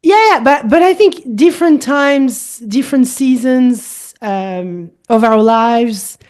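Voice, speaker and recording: A woman's voice: on the high side, takes it slowly, a little mellow. A middle-aged woman speaking English with a French accent, a holistic coach explaining her ideas as a guest in a casual interview, thoughtful and repeating her key points.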